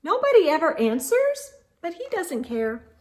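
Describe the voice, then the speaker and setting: Slow, lively woman reading a picture book. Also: very high-pitched. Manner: gentle, expressive read-aloud with soft asides. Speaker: children's storyteller.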